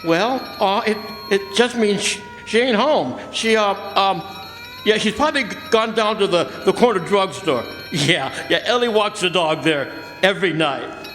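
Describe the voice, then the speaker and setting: A man's voice: high-pitched, lively intonation, measured pace, a bit bright, faint music behind. A man playing a hot-tempered, bitter ambulance driver in an old-time radio drama, slangy, blustering and agitated. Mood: uneasy. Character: hot-tempered, bitter working-class male ambulance driver, desperate husband. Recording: echoey hall stage recording, faint noise.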